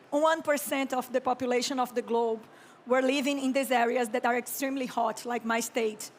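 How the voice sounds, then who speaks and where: A Brazilian woman in her thirties delivers a conference keynote in a high, light voice. Her Brazilian Portuguese accent colors a calm, reflective delivery full of deliberate pauses, and she clears her throat here and there. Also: slight background noise. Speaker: keynote speaker, architect and urban planner.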